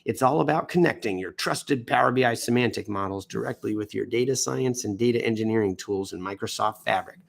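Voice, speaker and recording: A man's voice: on the low side, a little fast, creaky, hardly any pauses. An American man hosting a livestream, reading news posts off the cuff in a theatrical, jokey way with exaggerated emphasis, sounding a bit under the weather. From United States. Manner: theatrical, swoops into exaggerated emphasis and self-mocking asides. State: sick and tiring, coughing now and then. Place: indoors.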